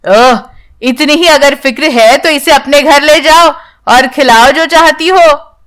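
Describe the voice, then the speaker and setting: A woman's voice: high-pitched, slow, a bit bright, voice pushed up. A woman voicing a scornful male vegetable seller in a storybook tale, with a curt, irritated manner.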